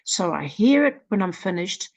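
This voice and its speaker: A woman with a New Zealand accent presenting a literacy program in a slow, deliberate walkthrough, sounding out letters one at a time. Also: mid-range voice, big pitch swings, a little mellow. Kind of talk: screen-recorded software walkthrough.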